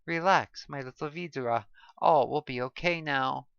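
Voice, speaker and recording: A narrator voicing a calm male doctor with a Russian accent, soothing and insistent. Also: medium pitch, moderate pace, creaky, clear, resonant tone, no echo, highs cut off. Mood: reassuring.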